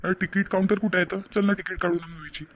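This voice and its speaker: A man's voice: high-pitched, steady tone, rapid-fire, close to an everyday voice. A man voicing a moody, lovesick young husband in a cartoon, reluctant and repetitive. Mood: evasive. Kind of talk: homemade cartoon voice acting. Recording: muffled lo-fi with loud noise.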